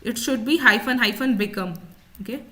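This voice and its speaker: A woman instructor explaining a software demonstration step by step, often repeating her points as she goes. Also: a little high, moderate pace.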